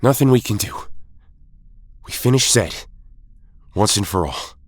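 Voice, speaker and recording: An actor playing an earnest, pleading young hero leader in an audio drama. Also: male voice, fairly low pitch, expressive, measured pace, breathy edge. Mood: grim.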